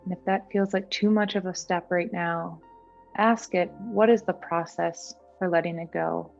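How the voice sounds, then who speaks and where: A woman guiding a meditation in a gentle, soothing, unhurried manner. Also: fairly low pitch, steady tone, creaky, muffled recording. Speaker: meditation guide. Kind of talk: guided meditation. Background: background music.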